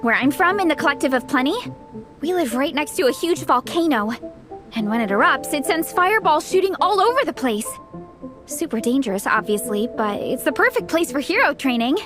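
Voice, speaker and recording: A woman's voice: high-pitched, fairly quick. A woman voicing an eager, encouraging young hero-in-training in a video game, girlish and gushing, with music behind her. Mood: cheerful, friendly. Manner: gushing, animated, giggly.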